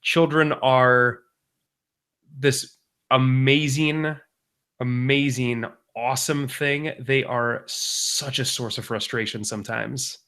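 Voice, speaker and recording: A young man co-hosts a casual podcast. His voice sits at an ordinary middle pitch. He talks in long, rambling stretches and often corrects himself mid-sentence. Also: fairly even intonation, slow, sharp tone, smooth. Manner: rambling, self-correcting parent anecdotes. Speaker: podcast co-host. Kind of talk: casual chat.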